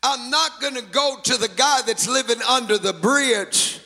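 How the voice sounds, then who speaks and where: High, bright-voiced shouting male preacher. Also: a little slow, creaky, long reverb tail. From Latin America. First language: Spanish. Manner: shouting, sing-song preaching with call-outs to the congregation. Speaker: pastor. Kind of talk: sermon. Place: church sanctuary.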